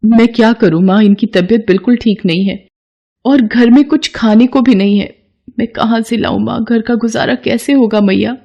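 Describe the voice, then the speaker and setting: A woman's voice: a little high, moderate pace, gravelly, warm tone, lo-fi sound, voice pushed up. A woman voicing a humble, devout young wife in a story, plaintive and pleading. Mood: worried.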